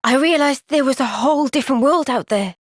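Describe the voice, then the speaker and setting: A woman playing an earnest, thoughtful young soldier in a fantasy video game cutscene, speaking in a gentle, measured, reflective way. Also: high-pitched, dry recording, studio quality, lightly acted. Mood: reflective, sincere.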